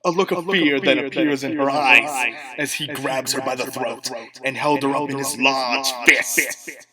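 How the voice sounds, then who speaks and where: A man narrating a scripted audio drama with deliberate storytelling delivery. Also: medium pitch, fairly even intonation, crowd noise.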